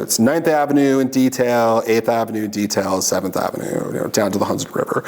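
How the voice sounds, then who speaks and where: A man's voice: lowish voice, a little mellow. A man in an oral history interview, talking casually and rambling with many fillers and restarts. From Washington state.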